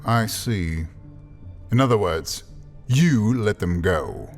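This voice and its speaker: A man playing a cold, commanding lord in an audio drama, speaking formally and with measured authority. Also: fairly low pitch, sing-song, vocal fry, heavily acted. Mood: cold, dismissive. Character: cold, commanding English-style lord who buys servants. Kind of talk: scripted audio drama acting. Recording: music behind the voice.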